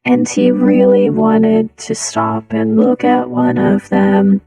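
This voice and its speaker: A woman's voice: fairly high pitch, fairly even intonation, creaky, mellow, distant mic, soft background music. A woman telling a casual, humorous personal story, her voice run through a voice changer. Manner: slow, giggly delivery through a voice changer. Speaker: storyteller, content creator.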